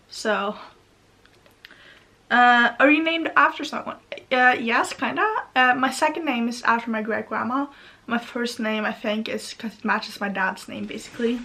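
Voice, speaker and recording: A young Norwegian woman talks on and on in a loose, rambling way, stacking fillers and side thoughts. Her voice sits a little high and her accent colors her English. She speaks from her bedroom. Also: fairly even intonation, measured pace, bright, forceful tone. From Norway. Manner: chatty, rambling, many fillers. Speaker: vlogger. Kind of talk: rambling casual monologue.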